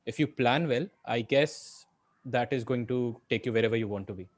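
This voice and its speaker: A man's voice: medium pitch, normal speed. A man in his twenties with an Indian accent, sharing his view conversationally as a student panelist. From Delhi, India. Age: 20s. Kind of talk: conversational opinion.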